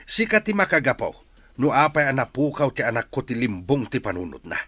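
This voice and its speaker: A middle-aged man with an Ilocano accent playing a resentful, grieving father in a radio drama, his voice husky. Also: medium pitch, lively intonation, brisk, pushed and bright, no echo. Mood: bitter, accusing.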